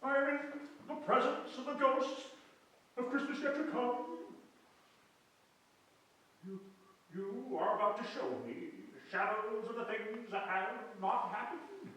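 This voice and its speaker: A man playing a frightened, repentant old miser in live readers' theatre, speaking in a halting, theatrical way. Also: very high voice, sharp tone. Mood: fearful, pleading. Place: small theatre hall with audience, on stage. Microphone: distant camera microphone. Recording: echoey hall from far off, noisy.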